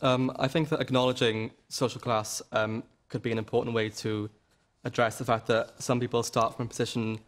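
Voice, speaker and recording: Earnest man with a medium-pitched voice. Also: little pitch movement, fairly quick. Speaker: audience member giving a view. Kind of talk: live debate comment. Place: broadcast debate with live audience.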